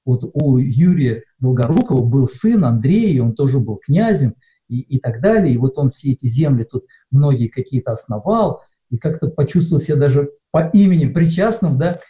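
Mellow-voiced man preaching, dull recording. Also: medium pitch, expressive, normal speed. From Russia. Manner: conversational, with many hesitations. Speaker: pastor. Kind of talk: sermon. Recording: dull lo-fi sound.

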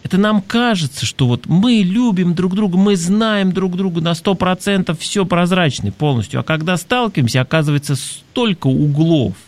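A man's voice: sits high, animated, normal speed, hardly any pauses. A man hosting an advice talk in a conversational, reflective way, explaining things and posing rhetorical questions. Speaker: talk show host. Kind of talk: conversational advice talk, reading listener messages.

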